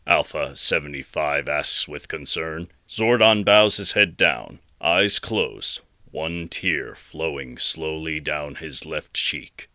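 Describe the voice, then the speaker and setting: A male narrator with a low-pitched voice and a sharp, forceful edge. He reads a scripted adventure story in a dramatic style. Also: slow, hardly any pauses.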